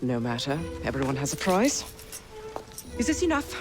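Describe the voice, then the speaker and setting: A woman's voice: rumbling low, huge pitch swings, normal speed, heavily acted. A shrewd middle-aged English businesswoman of the Victorian age, speaks over prominent music. She is genteel and imperious, phrasing everything formally, like a born schemer in a game cutscene. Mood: imperious, businesslike. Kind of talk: video game cutscene acting.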